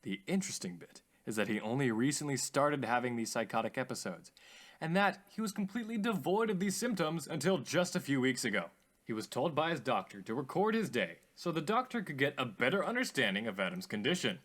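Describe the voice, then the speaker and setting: A man playing a polished, eager radio host who presents mysterious tapes in a deliberate, showy manner. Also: mid-range voice, big pitch swings, a bit bright. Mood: showy, intrigued. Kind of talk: scripted radio show presenting.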